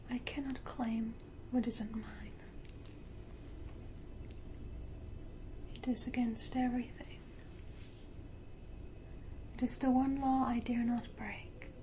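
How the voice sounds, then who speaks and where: A woman voicing a menacing, tenderly cruel vampire matriarch in a slow, breathy, theatrical whisper for an ASMR roleplay. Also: on the high side, background noise. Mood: regretful, tender menace.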